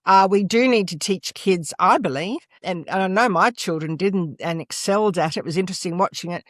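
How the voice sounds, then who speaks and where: A woman's voice: lowish voice, fairly quick, no room sound, studio quality. An older Australian woman, a former school principal, talking emphatically as a guest in an interview. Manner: emphatic, leans on "absolutely" and "et cetera". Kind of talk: interview conversation.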